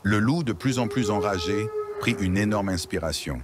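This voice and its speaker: A man narrating a scripted children's story as storyteller, in an expressive, dramatic way. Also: lowish voice, moderate pace, over music.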